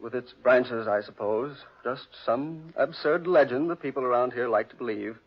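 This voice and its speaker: An actor playing a breezy, scoffing upper-class gentleman in a 1940s radio drama. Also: mid-range voice, normal speed, husky. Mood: flippant. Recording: muffled 1940s radio recording.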